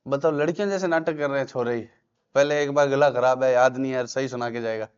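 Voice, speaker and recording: A man's voice: medium pitch, fast talker, smooth. A male commerce teacher, lecturing in a classroom and quizzing his students with repeated questions. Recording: dull lo-fi classroom recording.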